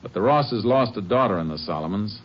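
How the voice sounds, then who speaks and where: An actor playing a steady, firm Texas Ranger who also narrates, calm and clipped, in a 1950s radio drama. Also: male voice, on the low side, fairly quick, close to an everyday voice. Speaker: narrator and lead. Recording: dull old broadcast with faint hiss.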